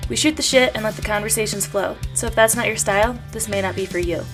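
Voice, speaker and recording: A woman's voice: medium pitch, quick pace. A woman podcast host delivering a scripted introduction in an informal style. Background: guitar music.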